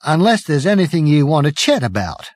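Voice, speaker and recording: Bewildered, polite young soldier acted by a man, highish voice, hesitant and apologetic, dry audiobook sound. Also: very animated, brisk, no echo. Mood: uneasy, apologetic. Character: uncertain, bewildered, mild-mannered young male alien cricketer with gun. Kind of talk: audiobook character voice.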